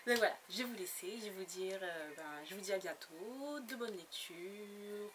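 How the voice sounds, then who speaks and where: A woman's voice: mid-range voice, expressive, normal speed. A woman chatting casually about her latest book haul, giggly and full of fillers and restarts. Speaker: book blogger. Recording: noisy home recording.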